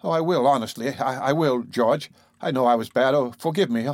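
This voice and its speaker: A man's voice: mid-range voice, brisk, dry recording, studio quality, natural voice. A man reading an audiobook voices a self-pitying woman who pleads and whimpers. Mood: pleading. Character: shrill, self-pitying woman, scolding then groveling.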